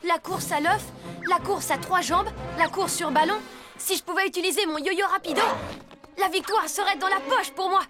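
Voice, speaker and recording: A woman's voice: very high-pitched, normal speed, music in the background. A woman playing an eager, impulsive six-year-old boy superhero in a cartoon, with an emphatic delivery. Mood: boyish, earnest. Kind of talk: animated cartoon dub acting.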